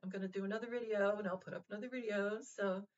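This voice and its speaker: A woman of middle pitch talks straight to her viewers, gentle and reassuring. She pauses often and restarts her phrases. The recording sounds dull and lo-fi. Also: steady tone. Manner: halting, full of fillers and restarts, gentle and reassuring. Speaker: vlog host. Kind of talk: casual heartfelt address to viewers.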